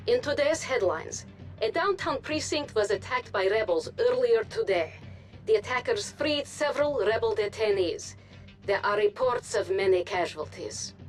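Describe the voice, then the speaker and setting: An actress plays a Soviet propaganda anchorwoman in a video game broadcast. She reads in a measured, formal, authoritative way, in a fairly high voice, over faint music. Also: darkish tone, close to an everyday voice. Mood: formal newsreading.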